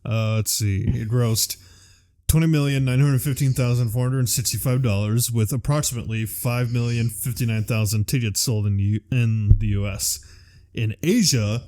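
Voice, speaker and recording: The guy on a hobby movie podcast you put on late at night, swearing casually as he reads out trivia at an everyday middle pitch. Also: fairly even intonation, moderate pace, dark tone. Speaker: podcast host. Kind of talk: casual chat, reading out film trivia.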